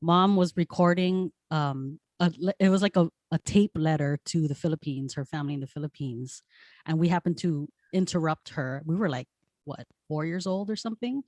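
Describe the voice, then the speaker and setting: A woman's voice: low-pitched, moderate pace, darkish tone, no room sound. A woman in her forties chatting casually as a guest, with a playful, informal manner. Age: 40s. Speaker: show guest. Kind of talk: casual chat.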